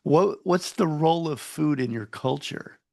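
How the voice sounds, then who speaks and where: A man hosting a podcast, asking questions in a halting way, repeating words as he goes. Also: on the high side, vocal fry, darkish tone, no echo, studio quality. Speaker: podcast host. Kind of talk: interview questions.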